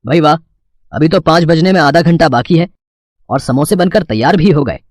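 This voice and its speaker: A man plays a kindly male sweet-shop owner in a cartoon story. He is fair and measured, with a businesslike way of talking and a dry, close sound. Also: a little high, no echo, close to an everyday voice.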